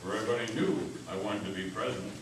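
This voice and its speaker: A man's voice: on the low side, fast talker, slight background noise, pitched below the performer's own voice, lightly acted. A man acting a proud, defiant nineteenth-century lawyer and orator with bold, folksy declamation in a stage reading. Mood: stoic, defiant. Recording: echoey hall, distant mic, music.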